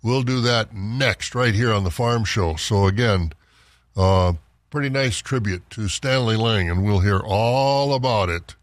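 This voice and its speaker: Man, farm radio host, plain steady read, dry studio sound. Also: lowish voice, unhurried, dry recording. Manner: plain, steady market readout with fillers. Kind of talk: farm radio market report and chat.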